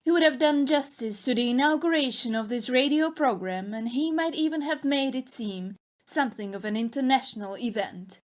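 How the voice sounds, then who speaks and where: A woman playing a gracious lady mystery author, giving a formal, measured radio address in an audio play. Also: high-pitched, close to an everyday voice.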